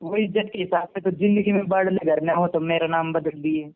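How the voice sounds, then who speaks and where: Man, Haryanvi accent, high-pitched, argumentative domestic phone talk, thin telephone-quality recording. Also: level tone, fairly quick. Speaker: husband on a phone call. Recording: muffled narrowband phone call recording.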